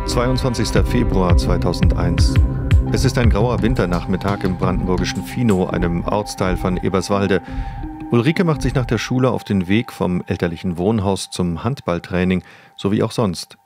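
A man with a fairly low voice narrates a true-crime documentary from a script. Music plays clearly behind him.

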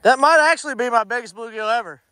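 A man's voice: extremely high pitch, fast, pushed and bright, a little hiss or hum. A man in his thirties with a Kentucky accent, patiently talking kids through fishing at a farm pond, recorded on a handheld phone outdoors. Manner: patient, encouraging, coaching kids step by step. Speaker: fishing vlogger, uncle. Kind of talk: casual outdoor vlog. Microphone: handheld phone camera.

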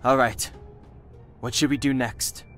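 Man as a slow, stern young companion. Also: medium pitch, faint music behind, natural voice. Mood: grave, measured. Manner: measured, stern, deadpan. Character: serious, cautious, reserved young male companion. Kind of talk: video game voice acting.

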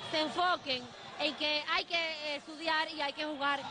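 A woman's voice: sits high, fairly even intonation, moderate pace. A young woman from Santiago with a Dominican accent, speaking fluently and upbeat in a live interview on an outdoor court. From Santiago, Dominican Republic. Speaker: sports journalist and team spokesperson. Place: outdoor neighborhood basketball court. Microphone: handheld held by interviewer. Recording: crowd and noise outdoors.

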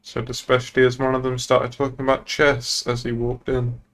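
A man's voice: mid-range voice, completely flat delivery, moderate pace, a bit bright. A young man playing a police boss on a roleplay game stream, chatting casually in an understated, polite but pointed way. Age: young adult. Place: indoors at a streaming desk.

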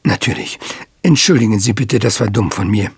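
An actor playing an anxious English country squire in an audio drama, polite and hesitant, husky and gravelly. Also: male voice, lowish voice, normal speed, no echo, heavily acted. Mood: agitated, earnest. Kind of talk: German audio drama acting.

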